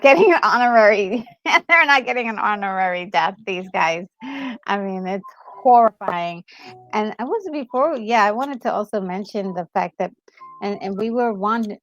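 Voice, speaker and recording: Excitable woman chatting, creaky voice over music. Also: medium pitch, dull audio. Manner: excitable, emphatic, repeats herself. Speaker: gaming channel co-host. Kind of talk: casual reaction chat about a game story. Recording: music playing behind the voice. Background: background music.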